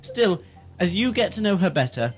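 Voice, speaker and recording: A man playing a suave, scheming asylum keeper in an audio drama, florid and smoothly condescending. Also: high-pitched, very animated, normal speed, soft background music, rough recording. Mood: suave, indulgent. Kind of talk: amateur audio drama acting.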